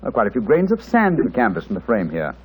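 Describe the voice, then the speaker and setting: An actor playing a brisk, analytical English gentleman detective with a British accent, clipped and precise, in an old radio drama. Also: mid-range voice, wildly swooping pitch, a little hiss or hum. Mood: keen, decisive. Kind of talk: 1940s radio drama acting. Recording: vintage 1940s radio recording.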